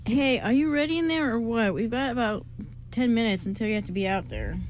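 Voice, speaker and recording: A woman playing a steady, gently teasing best man in an amateur radio play, speaking in a casual, soothing way. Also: a little high, fairly quick. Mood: puzzled, reassuring. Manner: casual, soothing, plain-spoken. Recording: clearly noisy amateur recording.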